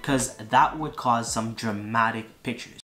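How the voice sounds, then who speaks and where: A man's voice: mid-range voice, a little slow. A man in his twenties talking casually to camera as a vlog host. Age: 20s. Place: car interior, a room, outdoors by a river at different times. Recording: music behind the voice. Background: music.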